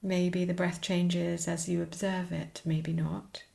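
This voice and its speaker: A woman teaching a guided meditation, speaking soothingly in short, unhurried phrases with pauses. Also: lowish voice, little pitch movement, vocal fry, darkish tone.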